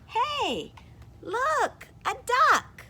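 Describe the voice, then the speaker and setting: A woman doing an excited squeaky duck-believer. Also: very slow, exaggerated voice. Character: eager storyteller insisting it's a duck.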